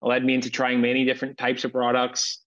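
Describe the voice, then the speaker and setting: A man's voice: mid-range voice, muffled recording. A man in his early thirties from Scotland, a company founder speaking steadily as a guest on a video-call interview. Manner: steady, measured, lists points. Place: indoor room, home.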